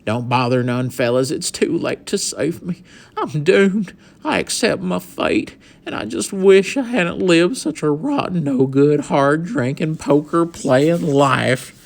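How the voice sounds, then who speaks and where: A man's voice: medium pitch, very animated, measured pace. A man voicing a tiny, self-pitying cowboy with a twangy drawl.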